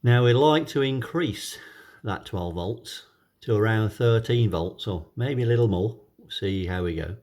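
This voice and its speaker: A man gives a casual hands-on demonstration, thinking aloud in an unhurried, hesitant way. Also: lowish voice, expressive, darkish tone. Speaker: hobbyist video presenter.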